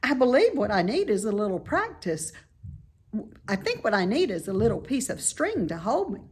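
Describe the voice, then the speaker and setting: Woman voicing an excitable high-pitched little boy pig. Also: normal speed, husky. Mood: cheerful, determined. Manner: excitable, wide-eyed exclamations and questions. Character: eager, boastful young male pig, naive and cheerful.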